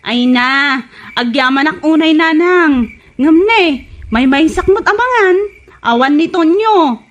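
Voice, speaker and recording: A woman's voice: high-pitched, lively intonation, slow pace, muffled recording. An actress with an Ilocano Filipino accent playing a suspicious, aggrieved young girlfriend in a comedy radio drama. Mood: plaintive, complaining.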